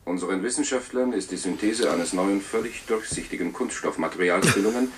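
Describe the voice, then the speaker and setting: A man performs a propaganda announcer calling from a space station in a radio drama. His low voice is formal and declamatory, with faint noise and clicks around it. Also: brisk, close to an everyday voice. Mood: official, urgent. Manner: declamatory, official.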